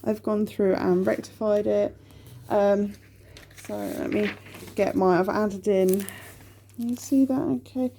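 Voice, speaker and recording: A mellow, slightly gravelly woman in her thirties from the UK talks casually to her camera at home. She sniffles now and then and rambles on, filling gaps with ums and correcting herself. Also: medium pitch, steady tone, slow pace, pauses often, slight background noise. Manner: chatty, rambling, with many ums and self-corrections. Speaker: budgeting vlogger. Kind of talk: casual chat to camera while counting cash.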